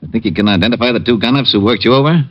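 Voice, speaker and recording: An actor playing an irritable, hungover police lieutenant who sounds gruff and impatient, in an old radio crime drama. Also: male voice, fairly low pitch, rapid-fire, lightly acted. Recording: lo-fi old radio broadcast with faint noise.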